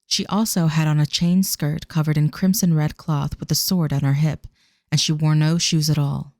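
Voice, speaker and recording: A scripted fantasy audio-drama narrator with measured, descriptive delivery. The voice has noticeable vocal fry and is recorded close and very dry. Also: medium pitch, flat delivery, a little mellow, no room sound.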